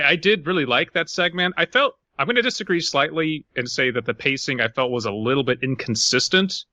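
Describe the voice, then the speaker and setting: A man co-hosts a casual podcast discussion in a very bright, forceful voice. The sound is dry and close, with little room around it. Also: medium pitch, dry recording, muffled recording. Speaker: podcast co-host.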